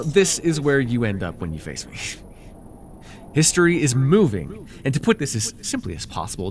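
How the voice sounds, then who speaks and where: A man playing a scheming, possessive dark sorcerer ruler in a fantasy roleplay, speaking theatrically and condescendingly with sighs and deliberate pauses. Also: mid-range voice, noisy background. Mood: angry, domineering. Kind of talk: immersive ASMR fantasy roleplay acting.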